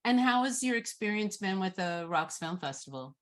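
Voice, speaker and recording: Woman moderating an informal online Q&A, haltingly. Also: medium pitch, expressive. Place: online video call.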